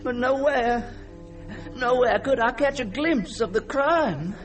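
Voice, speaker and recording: An actor playing a haunted, guilt-ridden man who recounts a nightmare in a halting, stammering, theatrical way, as in an old-time radio mystery. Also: very high voice, animated, exaggerated voice. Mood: fearful, agitated. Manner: halting, stammering, theatrical delivery with repeated words. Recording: old radio drama with music underneath.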